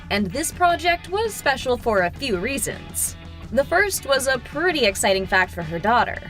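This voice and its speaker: A woman's voice: sits high, big pitch swings, normal speed, over loud music. A woman reading scripted narration for an entertainment countdown video.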